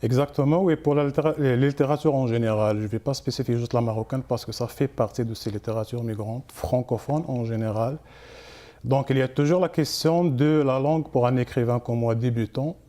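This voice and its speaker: A man whose first language is Arabic, an author speaking as a guest in a television interview, measured and agreeing often. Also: mid-range voice, dark tone. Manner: measured, repeats "exactement" often.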